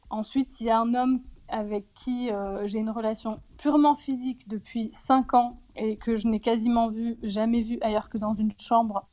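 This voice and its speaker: A woman in her forties speaking candidly about her personal life in an interview, reflective and hesitant, often pausing on fillers. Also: on the high side, darkish tone. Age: 40s.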